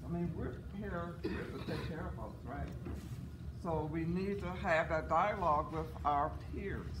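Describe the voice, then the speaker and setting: A woman with a raspy voice presenting a workshop and quizzing the audience. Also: low-pitched, measured pace. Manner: animated, questioning the audience. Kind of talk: interactive workshop talk. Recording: echoey room, distant mic, noisy.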